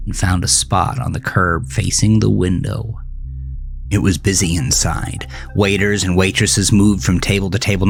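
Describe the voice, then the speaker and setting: A man narrating a scripted horror thriller story in a measured, deliberate storytelling manner. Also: lowish voice, creaky. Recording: music bed under the voice. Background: music.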